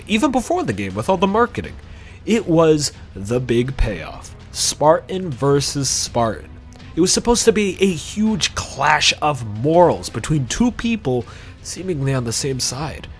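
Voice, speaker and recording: A man's voice: on the high side, very animated, measured pace, soft background music. A man narrating a scripted video essay about games in an emphatic, conversational way with sarcastic asides.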